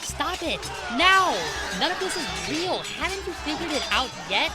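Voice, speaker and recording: A woman's voice: sits high, lively intonation, moderate pace, loud music behind the voice. An actress voicing an insistent, impatient, headstrong female reporter in a comic-book dub. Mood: exasperated.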